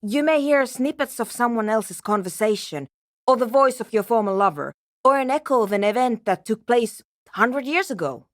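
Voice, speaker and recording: A woman's voice: fairly high pitch, animated, normal speed, no echo, studio quality, close to an everyday voice. An actress playing a weary, obsessive and prickly woman programmer in a video game, with a terse, precise way of talking. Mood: intrigued, explaining. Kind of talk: dramatic game dialogue.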